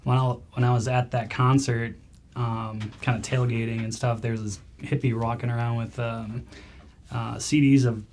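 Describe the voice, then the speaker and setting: A man in his late thirties with a mid-pitched voice talks easily as a guest in an interview. He tells his story modestly and casually, leaning on fillers as he goes. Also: little pitch movement, normal speed, a little mellow, a little hiss or hum. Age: 30s. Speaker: guitarist, band guest.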